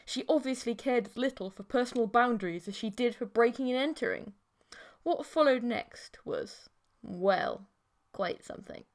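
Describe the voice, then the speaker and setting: Female audiobook narrator, comic first-person delivery, wry, lively intonation, slightly high register. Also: moderate pace.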